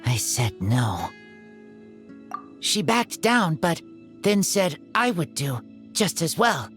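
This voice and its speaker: Feral young game wolf boy, slow and halting, earnest protector, faint music behind. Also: on the high side, big pitch swings, a bit bright, full character voice. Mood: solemn, guarded. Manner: halting, short simple phrases. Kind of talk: video game voice acting.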